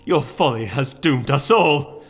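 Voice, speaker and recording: Storybook duchess, acted by a man: fierce and defiant, forceful indignant delivery, raised pitch, music underneath. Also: lively intonation, unhurried. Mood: defiant anger. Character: fierce defiant duchess, pleading southern leader.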